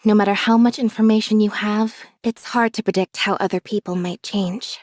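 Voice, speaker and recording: A woman voicing a reserved, observant young magician's assistant in a video game, speaking in a calm, measured deadpan. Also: medium pitch, no room sound, clean studio sound, lightly acted. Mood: calm, detached. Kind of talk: scripted character voice lines.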